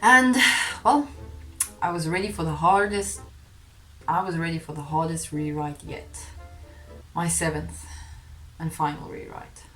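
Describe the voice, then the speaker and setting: A woman's voice: deep voice, steady tone, a little slow, pauses often, low-quality recording. A young woman whose first language is Afrikaans, telling her own story in a candid, self-deprecating vlog and laughing partway through sentences. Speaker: vlogger. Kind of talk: confessional vlog narration. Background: faint music.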